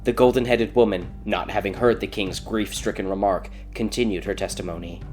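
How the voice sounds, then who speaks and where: A man's voice: on the low side, steady tone, a little fast, a little mellow, soft background music. A man reading a scripted fairy tale as a dramatic audiobook narrator.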